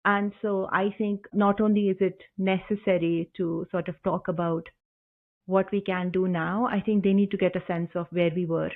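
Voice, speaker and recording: A woman hosting an interview, earnest and engaged, building her questions at some length before asking them. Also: on the low side. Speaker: podcast host, interviewer. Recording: tinny phone-like line.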